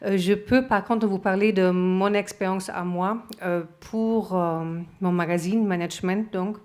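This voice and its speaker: A woman's voice: mid-range voice, steady tone, a little slow, darkish tone. A woman from Germany speaking French with a German accent, giving a conference talk with many hesitations. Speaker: association president and magazine photo editor. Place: conference room.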